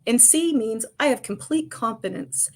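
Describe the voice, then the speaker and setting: Commanding woman guest, emphatic and lively. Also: fairly high pitch, moderate pace. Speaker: construction industry guest. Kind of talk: podcast interview answers.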